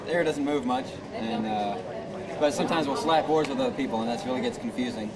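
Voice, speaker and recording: A man's voice: mid-range voice, fast talker. A man, a rower, answering casually and a little hesitantly in an outdoor interview. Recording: loud outdoor crowd behind.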